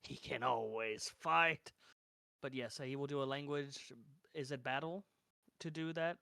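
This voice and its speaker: A man with a crisp, bright voice chats through a tabletop roleplaying game. He casually describes his character's actions. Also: mid-range voice, unhurried, dry recording, studio quality.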